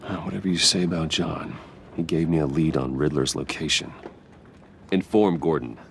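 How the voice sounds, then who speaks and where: A male actor playing a composed, guarded wealthy man in a video game, measured and controlled. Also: extremely low pitch, a little hiss or hum. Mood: businesslike.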